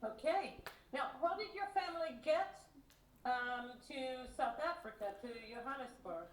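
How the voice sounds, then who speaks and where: A woman interviewer asks short, direct questions in a high voice. The room around her is echoey, with a faint hum of noise underneath. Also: measured pace.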